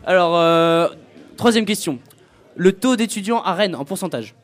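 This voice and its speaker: A man's voice: sits high, expressive, moderate pace. A young man co-hosting a student radio quiz, asking questions with lots of hesitant fillers. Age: young adult. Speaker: quiz co-host.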